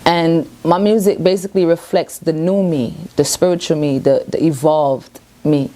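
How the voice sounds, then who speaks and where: Low, mellow-voiced New woman. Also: fairly even intonation, moderate pace, a little hiss or hum. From Brooklyn, New York. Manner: earnest, self-assured, loose and rambling. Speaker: reggae artist. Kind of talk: casual one-on-one interview. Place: her home.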